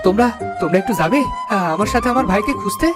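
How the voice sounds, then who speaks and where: A man's voice: high-pitched, quick pace, over music, lightly acted. A man voicing a worried villager in a cartoon, pleading and stammering over a lost brother. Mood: anxious pleading. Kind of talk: cartoon melodrama acting.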